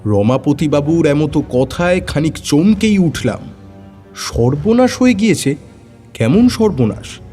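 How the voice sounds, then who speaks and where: A man narrates a dramatic story in a mellow, slightly high voice. His delivery is expressive, with wide swings in pitch, over a music bed. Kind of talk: dramatic first-person audio story narration.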